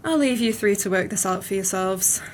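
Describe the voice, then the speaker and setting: A woman's voice: mid-range voice, moderate pace, slight background noise, natural voice. A woman playing a kindly, concerned tavern regular in an audio play, casual and considerate. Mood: tactful.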